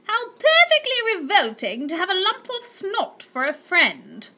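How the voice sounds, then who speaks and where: A woman's voice: very high voice, huge pitch swings, a little slow, sharp tone, pitched above the performer's own voice, exaggerated voice. A woman voicing a greedy, cat-obsessed rich old aunt who sneers and bellows threats. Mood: furious.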